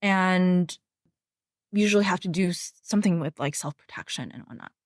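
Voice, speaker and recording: A woman's voice: lowish voice, moderate pace, no room sound, studio quality. A woman hosting a podcast interview, speaking in a thoughtful, conversational way with many fillers. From of Taiwanese family background. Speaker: podcast host. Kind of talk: casual interview.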